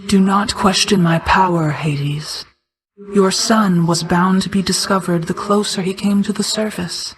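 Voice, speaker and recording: A woman voicing a solemn, regal night goddess and protective mother figure in a video game, speaking in a formal, measured, restrained way. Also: medium pitch, steady tone, lightly acted, low-quality recording. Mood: stern, restrained. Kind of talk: scripted video game character dialogue.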